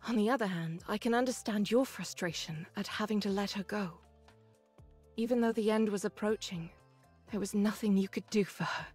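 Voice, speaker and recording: A composed, mature woman soldier from an anime game, acted by a woman, measured and gently firm. Her pitch is moderate, and soft music plays underneath. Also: breathy edge, lightly acted. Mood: gently consoling. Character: calm, caring, mature female squad second-in-command.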